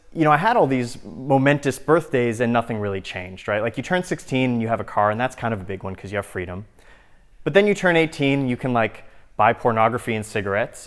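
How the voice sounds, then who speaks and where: Man in his thirties giving a casual talk. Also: medium pitch. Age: 30s. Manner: conversational, peppered with "like" and "you know". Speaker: speaker at a live talk. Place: live talk at a festival event.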